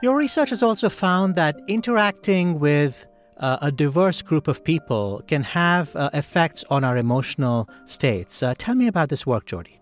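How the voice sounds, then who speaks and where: A man's voice: on the high side, very animated, moderate pace, soft background music. A man hosting an interview, attentive and summarizing his guest's ideas back in plain terms. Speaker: interview host. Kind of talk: podcast interview.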